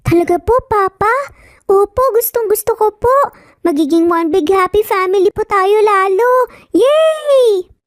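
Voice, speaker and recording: Very high, sweet small child. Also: female voice, lively intonation, a little slow, no room sound, clean studio sound. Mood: excited. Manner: polite, pleading, childlike. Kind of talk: dramatised storytelling.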